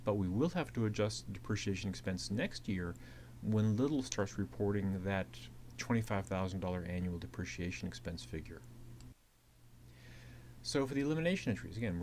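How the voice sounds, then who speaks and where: Male instructor, fairly low voice, methodical slide-lecture narration, slight hum on the recording. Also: a little mellow. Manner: methodical, repeats points. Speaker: accounting instructor. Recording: clearly audible hiss and hum.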